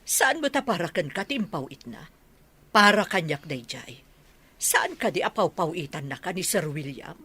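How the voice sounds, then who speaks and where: A woman's voice: on the low side, big pitch swings, moderate pace, bright, forceful tone, breathy edge, full character voice. A woman with an Ilocano Filipino accent playing a nosy, protesting older village woman in a comedy radio drama. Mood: indignant.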